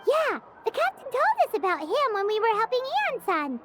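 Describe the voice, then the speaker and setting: Childlike female fairy sidekick, very high squeak, animated swings, excitable, video game. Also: moderate pace, over loud music, exaggerated voice. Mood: curious, surprised. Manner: bubbly, refers to herself by name. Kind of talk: English video game character acting.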